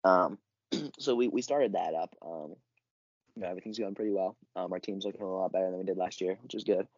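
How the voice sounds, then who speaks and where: A teenage boy co-hosting a casual sports podcast, still recovering from an illness and clearing his throat, talking in a relaxed way with many fillers. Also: deep voice, brisk, dry recording. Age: teens. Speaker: podcast co-host. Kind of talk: casual sports chat and news rundown. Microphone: basic home podcast setup. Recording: dull lo-fi dry recording.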